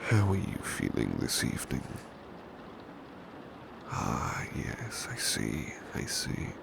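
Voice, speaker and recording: A man playing a devoted, gentle British butler in a soft-spoken ASMR roleplay, courteous and formal, often slipping into a breathy whisper. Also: wildly swooping pitch, slow, full character voice. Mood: gentle, attentive. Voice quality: very deep, gravelly, breathy whispery edge. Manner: gentle, courteous, old-fashioned formal phrasing. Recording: clear background noise, ambient rain sound. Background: rain ambience.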